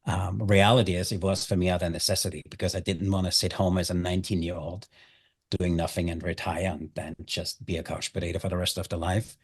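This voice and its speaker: A man's voice: low-pitched, level tone, fast, slightly rough. A middle-aged man with an Austrian accent, a native German speaker, talking conversationally as an interview guest and telling stories. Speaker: chef and hospitality guest.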